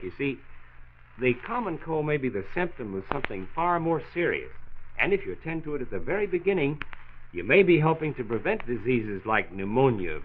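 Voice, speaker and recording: An actor playing a calm, authoritative American health doctor in a 1940s radio drama, measured and instructive, stressing his key points. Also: medium pitch, lightly acted. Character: calm, authoritative male county health doctor, patiently explaining. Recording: tinny, noisy 1940s radio recording.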